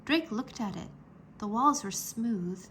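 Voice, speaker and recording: Woman reading a kids adventure book out loud, highish voice, deliberate storytelling, little noise behind. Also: lively intonation. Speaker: read-aloud narrator. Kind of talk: children's storybook read-aloud.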